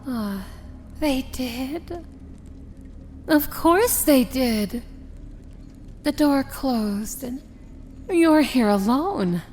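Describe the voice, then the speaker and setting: A woman's voice: fairly high pitch, darkish tone, a little hiss or hum. A woman playing an imperious, amused princess of evil in a fantasy ASMR roleplay, speaking in a theatrical, sardonic manner. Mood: scornful, delighted. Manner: slow, theatrical, grandly sardonic.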